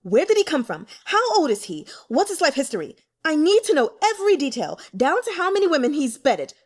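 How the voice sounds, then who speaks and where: A woman's voice: high-pitched, sing-song, fairly quick, pitched above the performer's own voice. A woman voicing a haughty, irascible young nobleman who bellows imperious orders in an audiobook. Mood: raging. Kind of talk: audiobook character acting.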